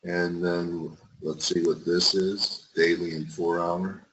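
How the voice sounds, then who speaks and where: A man with a fairly low voice hosts a casual trading webinar. He talks in a chatty, complimentary way. The audio is somewhat muffled, as on an online call. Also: level tone, slow pace, background noise. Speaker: webinar host. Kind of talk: casual market webinar chat.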